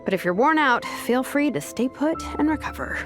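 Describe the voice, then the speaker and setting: An easygoing, confident female vice captain in a game cutscene. Her high voice is lively and instructive, like a friendly coach, with some music behind. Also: normal speed. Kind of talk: video game cutscene voice acting.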